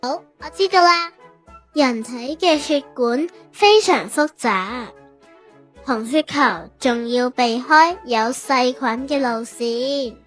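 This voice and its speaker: A woman's voice: high-pitched, slow pace, faint music behind. A woman with a Hong Kong Cantonese accent narrating a manga story expressively, like a storybook reader.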